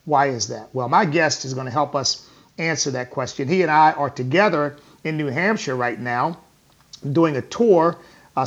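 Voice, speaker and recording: A man's voice: fairly high pitch, vocal fry, dull audio. A man hosting a political talk show, emphatic and repetitive, addressing listeners as folks. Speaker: radio talk show host. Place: hotel or venue on tour.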